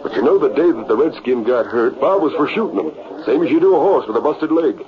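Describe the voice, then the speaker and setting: A man plays a scheming, short-fused villain of the Old West in a vintage radio drama. He talks in a blustering rural Western drawl at a middling pitch. The recording is muffled and has crowd noise in it. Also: animated, brisk, close to an everyday voice. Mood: feigned worry. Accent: rural American West. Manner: folksy frontier drawl, blustering. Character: scheming, hot-tempered male Western villain, gruff frontier schemer. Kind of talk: 1940s radio acting.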